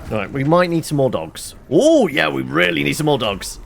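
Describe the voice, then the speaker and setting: Gravelly man chatting over gameplay. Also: on the high side, very animated, moderate pace, background noise. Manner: chatty, reacts aloud while playing, reads item notes. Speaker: gameplay commentator. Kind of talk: casual gameplay commentary.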